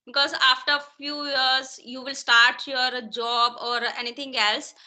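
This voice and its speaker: A woman's voice: high-pitched, level tone, a little slow, pushed and bright. A woman hosting a casual conversation practice chat, with many fillers. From India. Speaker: host.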